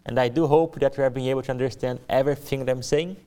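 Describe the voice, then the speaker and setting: Clear-voiced young Brazilian missionary man addressing church. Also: mid-range voice, fairly even intonation, very fast, dark tone. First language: Portuguese. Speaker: missionary giving a ministry presentation. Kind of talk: church testimony speech to a congregation.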